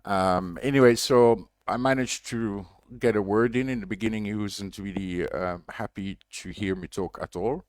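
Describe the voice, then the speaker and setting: Low-voiced belgian guy rambling thru a story on a livestream, lots of ums, dry close mic. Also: steady tone, normal speed, hardly any pauses, no echo. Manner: rambling, many fillers and restarts. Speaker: diver and reef conservation project lead. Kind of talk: casual livestream update, storytelling.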